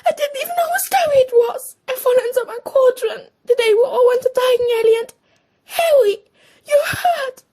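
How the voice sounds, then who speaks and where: Very high, tearful schoolgirl. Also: normal speed, dark tone. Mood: distraught, crying. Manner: pleading, sobbing delivery. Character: frightened tearful young schoolgirl, apologetic and shaken. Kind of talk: audio drama acting.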